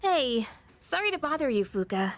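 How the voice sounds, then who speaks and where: Game role: frank, probing schoolgirl, very high voice, casual expressive delivery, faint music underneath. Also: normal speed. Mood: tentative, curious. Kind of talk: English video game voice acting.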